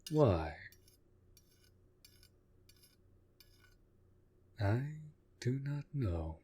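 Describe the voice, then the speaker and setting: A man playing a courtly, protective vampire lord in a roleplay audio drama, speaking softly and formally in measured, deliberate phrases. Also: sits low, slightly rough, full character voice. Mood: pensive, intrigued. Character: courtly, protective male vampire master of a manor.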